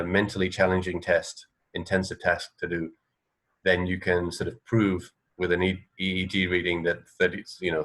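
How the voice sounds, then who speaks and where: A middle-aged man with a low-pitched voice talks casually as a radio co-host. He explains things step by step, often hesitating as he phrases them. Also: fairly bright tone. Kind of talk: casual chat. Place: indoor room, video call.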